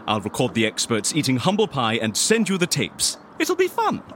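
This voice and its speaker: A man's voice: medium pitch, huge pitch swings, normal speed, a bit bright, a little hiss or hum. A man playing a polite, eccentric fixer in a comedy audio drama, hesitant and theatrical in his manner. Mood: eager, scheming. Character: eccentric, polite, excitable male fixer interviewing a client. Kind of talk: audio fiction comedy acting.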